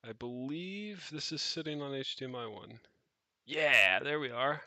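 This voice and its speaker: A man's voice: mid-range voice, expressive, measured pace, pushed and bright, no echo. A man chatting off the cuff on a livestream, rambling and thinking aloud with casual swearing as he tinkers. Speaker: streamer, tech hobbyist. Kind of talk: casual unscripted livestream chat while tinkering. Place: indoors, rented home.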